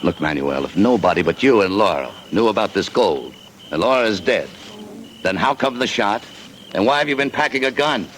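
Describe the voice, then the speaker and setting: An actor playing a tough, wisecracking American adventurer who also narrates, in clipped hard-boiled 1940s radio drama style. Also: male voice, fairly low pitch, expressive, moderate pace, fairly bright tone, poor audio. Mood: tense. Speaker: narrator and lead. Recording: hissy 1940s radio broadcast.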